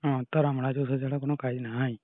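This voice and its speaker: A man chats casually and privately over the phone. His mid-pitched voice comes through a tinny, narrow line with no room echo. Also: flat delivery, fast. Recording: tinny, narrow-band, dry phone call recording.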